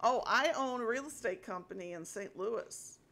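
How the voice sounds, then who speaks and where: A woman's voice: a little high, lively intonation, normal speed, gravelly, fairly bright tone. Chatty older woman on a headset. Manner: chatty, friendly, laughs often. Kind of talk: casual phone chat. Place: small home office.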